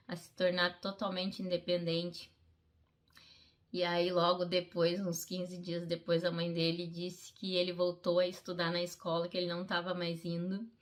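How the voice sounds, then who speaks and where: A woman's voice: mid-range voice, a bit bright. A middle-aged woman, telling a personal story in a heartfelt, conversational way, as an athlete in a documentary interview. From Brazil. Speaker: Paralympic fencer, interviewee.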